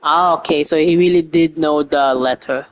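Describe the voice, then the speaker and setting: A man giving casual playthrough commentary as a gaming streamer, reacting in halting asides. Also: a little high, steady tone.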